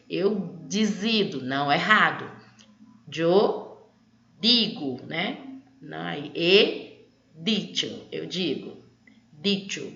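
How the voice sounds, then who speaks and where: A woman's voice: lowish voice, pushed and bright. A Brazilian woman giving an online grammar lesson as a language teacher, explaining didactically and often checking that students follow. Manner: didactic, checks understanding with short tag questions. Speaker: Spanish teacher. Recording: echoey room, slightly dull audio.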